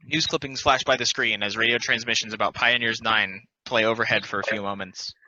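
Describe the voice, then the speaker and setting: A man's voice: on the low side, steady tone, a little fast, sharp tone, slight background noise. A man reading scripted narration as the narrator of stage directions. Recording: dry, dull lo-fi audio.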